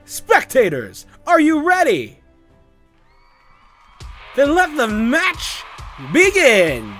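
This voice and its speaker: Casting: male actor as showy arena announcer, high voice with big pitch swings, excitable, dramatic, visual novel style. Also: drawn-out words, soft background music, heavily acted. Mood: hyping. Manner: theatrical, dramatic.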